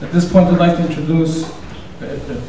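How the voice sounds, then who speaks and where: A man's voice: fairly high pitch, steady tone, moderate pace, warm tone, a little hiss or hum. Casual man in a very echoey hall. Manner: casual, rambling, conversational. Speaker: event organizer and host. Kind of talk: introductory speech. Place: synagogue hall. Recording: very echoey hall, distant mic.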